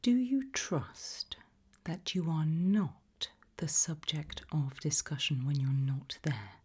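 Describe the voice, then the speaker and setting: A woman whispering in a breathy, hushed and deliberate ASMR style, putting questions to the listener. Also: low-pitched. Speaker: ASMR host.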